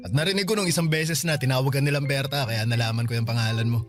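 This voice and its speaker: A friendly, modest man next door, acted out by a man in a radio drama. He talks in a mid-pitched, easygoing voice with a chuckle in it, over some background music. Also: fast talker, close to an everyday voice. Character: friendly, modest, brave male neighbour.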